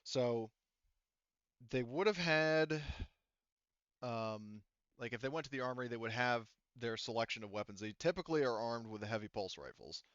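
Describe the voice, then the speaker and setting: Male game master, clean smooth tone, halting and self-correcting explanations, tabletop sci-fi session, dry muffled capture. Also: mid-range voice, fairly bright tone, no room sound. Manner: halting, circles back to rephrase explanations.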